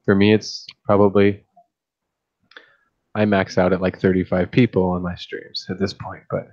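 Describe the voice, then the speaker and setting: A man with a deep voice who streams about fish keeping, chatting casually late at night and thinking aloud with many restarts. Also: level tone, moderate pace, a little mellow, dull audio.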